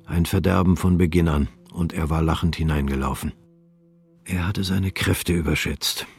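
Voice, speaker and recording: A man narrating a literary radio drama in a calm, measured, subdued reading. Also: low voice, vocal fry, husky.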